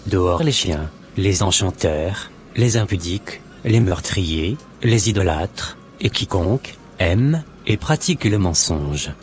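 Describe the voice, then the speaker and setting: Low-voiced devout man, acted by a man, measured. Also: expressive, soft background music. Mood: calm, admonishing. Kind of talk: scripted cartoon dialogue.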